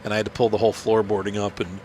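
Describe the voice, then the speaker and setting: A man's voice: on the low side, level tone, very fast, a little mellow, a little hiss or hum. Nasal man with a cold, chatty gamer. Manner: rambling, chatty, many fillers and restarts. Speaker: gaming channel host. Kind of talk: casual commentary while playing.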